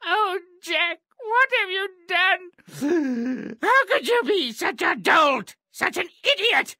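A woman's voice: extremely high pitch, big pitch swings, takes it slowly, sharp tone, no room sound, studio quality, full character voice. A woman voicing a poor, anxious mother in a fairy-tale video game, melodramatic and fretful. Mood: scolding, despairing. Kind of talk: video game character acting.

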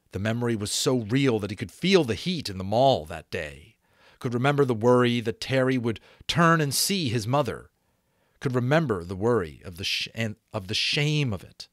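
A man in his thirties reading a novel aloud as an expressive, dramatic audiobook narrator. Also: medium pitch, dry recording, clean studio sound. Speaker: audiobook reader, voice actor. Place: small home room, indoors. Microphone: close desk microphone.

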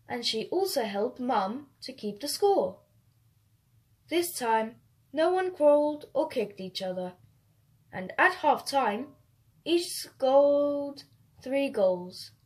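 A woman storyteller reading a children's picture book aloud in an expressive, unhurried way. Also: sits high.

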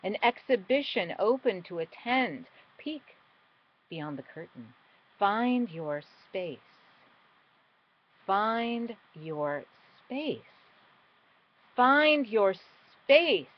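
A middle-aged woman delivers spoken-word poetry at an unhurried, deliberate pace. Her phrasing is theatrical, shifting between emphatic and hushed, in a mid-range voice. The sound is muffled and lo-fi, as from a webcam at home. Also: medium pitch, big pitch swings. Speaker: poet. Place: small indoor room at home.

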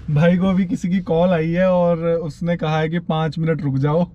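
A man's voice: on the high side, fairly even intonation, slow, lo-fi sound. A man vlogging casually from inside a moving car, chatting and joking with his friends on a road trip. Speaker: vlogger and actor. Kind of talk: casual travel vlog narration. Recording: loud car road noise.

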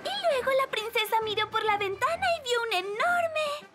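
A woman's voice: very high-pitched, lively intonation, normal speed. A woman voicing a clever, earnest little girl kitten in a Latin American Spanish cartoon, over music. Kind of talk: animated children's cartoon dub. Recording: loud music behind the voice.

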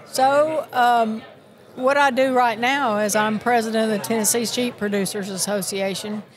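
A woman's voice: a little high, fairly even intonation, normal speed, crowd noise. A woman in her sixties from Miami with a Southern accent, chatting earnestly and with many restarts in a live interview at a busy convention. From Miami, Florida. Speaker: sheep producers association president.

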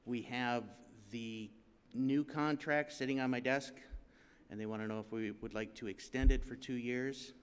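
A man's voice: mid-range voice, steady tone, moderate pace, clean tone. Middle-aged man, plain conversational announcements, resonant voice in a reverberant hall. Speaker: church announcer. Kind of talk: church announcements. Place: church stage, indoors. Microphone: pulpit mic.

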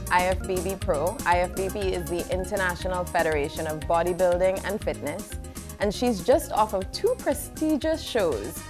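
A woman hosting a studio TV interview, upbeat with polished introductions and short encouraging replies. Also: lowish voice. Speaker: TV host. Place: TV studio, seated.

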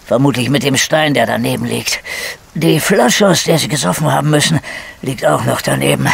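An actor playing a grumpy, cynical middle-aged police detective with flu in a radio crime drama, blunt and colloquial. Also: male voice, medium pitch, normal speed, gravelly, slightly rough. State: coughing with a cold.

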